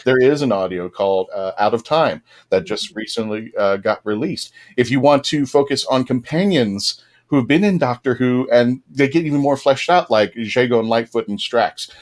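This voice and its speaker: A man's voice: mid-range voice, lively intonation, moderate pace, a little hiss or hum. A man hosting a fan panel, talking casually to the audience and fellow panelists. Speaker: panel host.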